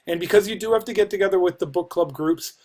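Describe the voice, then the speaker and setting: An American man in his forties explaining a course informally as an instructor, thinking aloud with pauses, recorded on a computer mic in a small office. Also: high-pitched, darkish tone. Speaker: university instructor. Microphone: computer microphone at desk distance.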